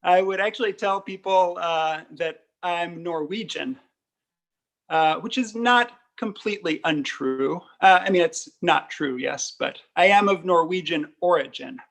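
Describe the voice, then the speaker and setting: A man's voice: high voice, unhurried, gravelly, fairly bright tone. An American man telling personal stories conversationally, candid and given to jokey asides. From United States. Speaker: embassy spokesman and former Arabic teacher, guest.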